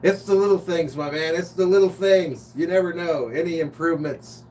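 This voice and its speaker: An American man hosts a casual sports stream in a slightly high voice. He chats chummily with viewers and calls bits of the game. He sounds a little away from the mic, with a faint hum behind him. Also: normal speed, darkish tone. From Los Angeles, United States. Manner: informal, chummy, reads out chat comments. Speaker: live stream sports host. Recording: distant mic, noisy hum.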